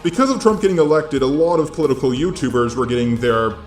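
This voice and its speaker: A synthetic robot male voice reading a scripted video essay narration in a flat, mechanical text-to-speech delivery. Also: mid-range voice, fast, echoey room, faint music behind.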